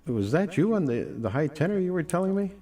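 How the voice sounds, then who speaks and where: Lively radio host, off-mic. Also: male voice, a little high, fairly quick, far from the mic. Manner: easygoing, chummy. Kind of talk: casual radio interview.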